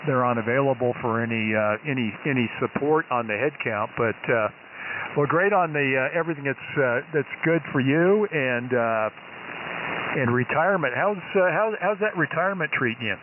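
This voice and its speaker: A man running an amateur radio net, chatting in an easygoing, halting way with many fillers. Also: medium pitch, lively intonation. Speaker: net control operator. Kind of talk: ham radio net chat. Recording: phone-like shortwave radio with hiss.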